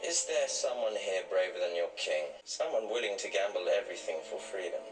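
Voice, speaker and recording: An actor playing a menacing, grandiose captor who toys with his hostages in a television period drama, speaking deliberately. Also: deep voice, lively intonation. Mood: taunting menace. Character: menacing, theatrical male captor toying with his royal hostages. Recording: echoey, distant TV audio with loud music.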